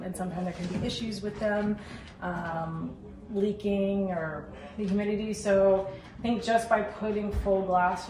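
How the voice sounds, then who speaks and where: A woman in her thirties giving a casual, explanatory walk-through tour as a homeowner, recorded at a distance inside a bare renovation site. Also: mid-range voice. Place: indoors in a bare wood-framed house under renovation. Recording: roomy echo, distant mic, loud site noise.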